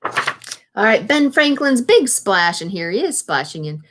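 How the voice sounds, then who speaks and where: A woman with a mid-range voice reads to young children in the manner of a teacher. She gives the story text a deliberate, expressive delivery and adds plain, simple explanations along the way. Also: medium pitch, background noise. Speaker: teacher reading a picture book aloud. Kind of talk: children's read-aloud with explanatory asides.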